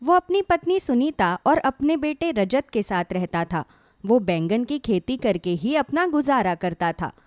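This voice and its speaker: A woman's voice: fairly high pitch, dry recording, clean studio sound. A woman reading scripted narration for a cartoon village tale as its storyteller.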